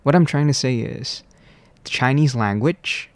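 A man, explaining things in formal, deliberate, carefully worded answers. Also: mid-range voice, no room sound. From Malaysia. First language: Cantonese. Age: 20s. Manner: formal, deliberate, precise wording. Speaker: university student guest.

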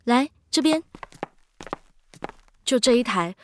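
An actress playing a gentle, polite young wife who has just moved in, soft-spoken and apologetic. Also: female voice, on the high side, expressive, slow, a little hiss or hum. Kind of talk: radio drama acting.

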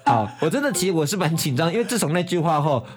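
Male co-host, Taiwanese accent, highly expressive pitch, chatty and self-mocking, music underneath. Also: quick pace. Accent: Taiwanese Mandarin. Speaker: radio show co-host. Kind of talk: casual chatty interview.

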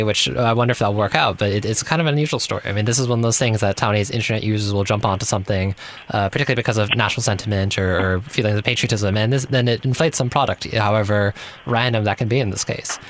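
A man's voice: on the low side, rapid-fire, a little hiss or hum. A man sitting in a radio studio as a guest, giving amused, musing commentary on the news with fillers.

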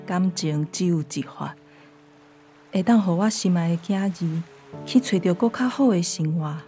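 A tender ghost mother played by an actress in a radio play, with a Taiwanese accent. The voice sits a little low and speaks gently and earnestly, full of self-sacrificing love. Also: moderate pace, faint music behind, close to an everyday voice. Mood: tender, sorrowful. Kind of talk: radio drama acting.

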